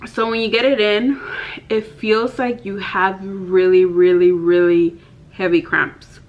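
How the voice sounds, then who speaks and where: A woman of about thirty chats to a camera in her bedroom, open and frank and full of casual fillers. Her voice sits at an ordinary middle pitch. The audio is a little dull, as if the highs were rolled off. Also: steady tone, slow. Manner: chatty, candid, many "like" fillers. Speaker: vlogger. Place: bedroom, indoors. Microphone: camera in front, head and shoulders.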